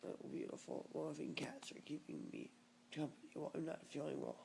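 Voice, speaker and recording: A woman vlogging at home in a casual video diary, speaking hoarsely and faintly, often near a whisper. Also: low-pitched, huge pitch swings, fairly quick, mellow. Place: at home, lying on the floor.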